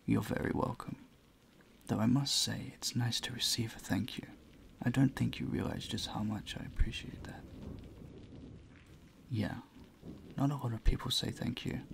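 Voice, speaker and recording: Guy doing a very creaky breathy vampire gentleman, soft and polite, doting, audio roleplay, bit of background noise. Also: medium pitch. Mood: tender. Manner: soft-spoken, polite, soothing, often near whispering. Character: gentle, courteous male vampire, charming and doting. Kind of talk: intimate audio roleplay spoken to the listener. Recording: faint ambient noise behind the voice.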